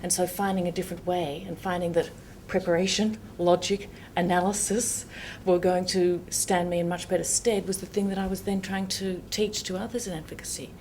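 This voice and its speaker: An older woman, a judge, speaking softly and reflectively in a panel discussion. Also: fairly low pitch, flat delivery, brisk, few pauses, a little hiss or hum. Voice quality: soft-voiced.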